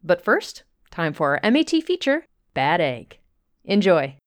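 A woman's voice: fairly low pitch, very animated, measured pace. A woman hosting a radio drama show, reading a scripted introduction in a polished presenter manner. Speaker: host. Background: music.

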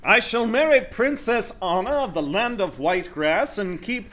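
A man's voice: high-pitched, lively intonation, normal speed, a bit bright. A man playing a smug, randy young prince in a comedic radio play, speaking with pompous, mock-regal formality.

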